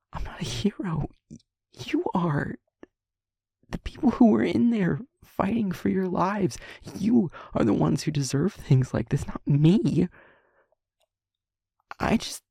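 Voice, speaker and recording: A man acting a guilt-ridden, tender-hearted young man in an intimate audio drama, with a breathy, husky voice and a halting, stammering, earnest delivery. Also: high voice, a little mellow, dry recording, studio quality, heavily acted. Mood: anguished, halting. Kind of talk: intimate audio roleplay drama, emotional monologue.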